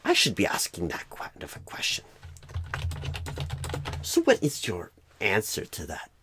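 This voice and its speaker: A man voicing a clueless, rambling, eccentric job recruiter in an ASMR roleplay, halting oddly mid-phrase in a breathy, husky voice that often drops to a whisper. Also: lowish voice, huge pitch swings, background noise. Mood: confused.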